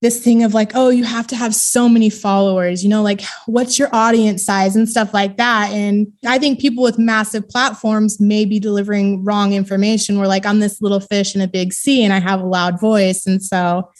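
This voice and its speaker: A woman's voice: medium pitch, little pitch movement, normal speed, few pauses, darkish tone. A young adult woman telling personal stories casually as an interview guest, informal and self-deprecating. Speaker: podcast guest, therapist.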